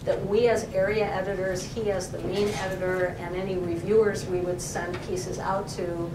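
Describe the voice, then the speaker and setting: A woman's voice: fairly low pitch, level tone, moderate pace. A woman professor answering audience questions at a library talk, thoughtful and full of fillers. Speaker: professor and co-editor. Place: library event room. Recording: echoey room, distant mic, noisy.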